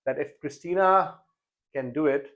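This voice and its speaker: A man's voice: fairly high pitch, a little slow, a bit bright. Hesitant chatty male podcast host. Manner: hesitant, many fillers and restarts. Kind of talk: casual interview chat.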